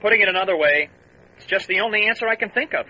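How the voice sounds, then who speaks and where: Lo-fi police radio, earnest deputy, very piercing bright tone, high pitch, clipped factual delivery, radio drama. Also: male voice, fast talker, exaggerated voice. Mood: matter-of-fact. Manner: clipped, matter-of-fact reporting. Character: earnest, matter-of-fact deputy reporting to his boss. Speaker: voice actor. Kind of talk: old-time radio revival drama acting. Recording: lo-fi two-way radio sound.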